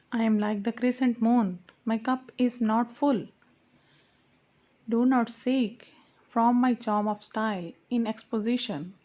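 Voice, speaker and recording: A woman's voice: fairly high pitch, darkish tone. A woman reading archaic verse aloud as an audiobook, in a solemn recitation that stops to correct her own slips. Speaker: audiobook reader.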